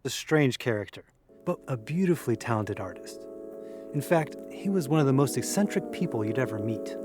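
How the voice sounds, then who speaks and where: A man's voice: mid-range voice, animated, a little fast, music in the background, close to an everyday voice. A man playing a bookish young man in an audio drama, talking in an articulate, matter-of-fact way. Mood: thoughtful, certain. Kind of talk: scripted audio drama, conversational.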